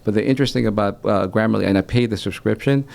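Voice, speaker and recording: A man's voice: fairly low pitch, fast, gravelly, a little mellow. A man originally from El Salvador, a native Spanish speaker, talking casually as an expert guest in a radio interview and repeating phrases for emphasis. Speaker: guest expert on digital marketing.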